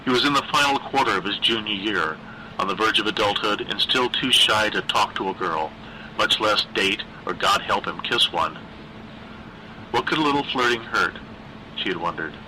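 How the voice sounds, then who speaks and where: Bright, forceful man narrating dark fiction. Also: lowish voice, normal speed, slight background noise, rough recording. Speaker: story narrator, reader. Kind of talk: scripted narration of a dark horror story.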